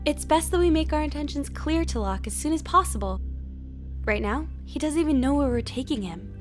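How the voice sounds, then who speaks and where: A woman voicing an earnest, idealistic cartoon therapist with a measured, formal, articulate delivery. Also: high voice, lively intonation, faint music behind. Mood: polite, resolute. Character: earnest, composed female therapist, idealistic and firm. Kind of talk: animated film voice acting.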